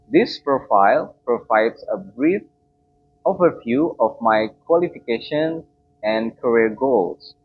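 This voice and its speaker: A young man whose first language is Indonesian, giving a formal class presentation in a careful, measured way. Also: medium pitch, husky, lo-fi sound. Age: young adult. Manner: slow, careful, formal delivery. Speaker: student presenter.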